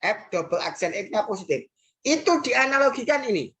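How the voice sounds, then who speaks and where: A woman's voice: medium pitch, big pitch swings, moderate pace, a bit bright, husky. A woman teaching a math lesson with an Indonesian accent, working through problems patiently and repeating key phrases, with frequent "nah" and "hmm". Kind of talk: recorded classroom math lesson.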